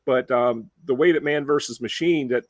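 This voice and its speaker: A man's voice: medium pitch, normal speed, no room sound. A man with a Mid-Atlantic Delmarva accent hosting a casual firefighter webcast, chatty with lots of uh and um. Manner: chatty, many fillers. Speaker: webcast host.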